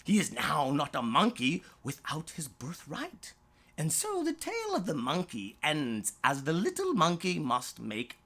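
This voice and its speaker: A storyteller narrating a rhyming bedtime story in a theatrical, drawn-out way with dramatic swoops. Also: medium pitch, gravelly, fairly bright tone, slightly rough. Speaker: storyteller, author reading own story.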